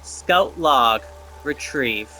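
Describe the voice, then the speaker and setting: A man's voice: medium pitch, expressive, extremely slow, pushed and bright, low-quality recording. A man giving casual gameplay commentary, explaining things and thinking aloud as he plays.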